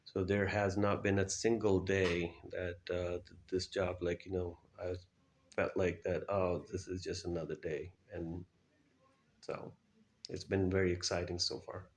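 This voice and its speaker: A middle-aged man answering interview questions and recounting his experiences in a halting way with frequent pauses. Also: deep voice, flat delivery. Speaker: guest, air traffic controller.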